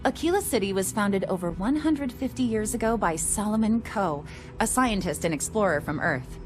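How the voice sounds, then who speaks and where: A woman acting as a museum curator in a video game, with a medium-pitched voice. She guides listeners with pride, in an informative storytelling manner. Music plays clearly behind her. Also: lively intonation, normal speed, lightly acted. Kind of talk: video game character dialogue.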